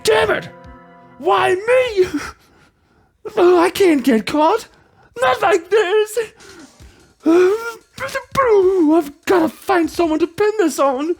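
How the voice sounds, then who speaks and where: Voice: lively intonation, soft background music, exaggerated voice. Squealing, cowardly, scheming killer. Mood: panicked. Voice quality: high, strained, whimpering. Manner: hammy, drawn-out, breaking into cackles. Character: scheming cowardly killer, frantic, gloating and self-pitying.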